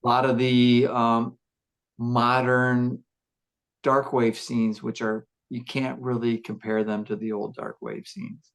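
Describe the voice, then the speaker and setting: A man with a gruff voice, a musician chatting casually on a podcast, reflective and rambling with many fillers and restarts. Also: medium pitch, flat delivery, takes it slowly, poor audio. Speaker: musician discussing his band.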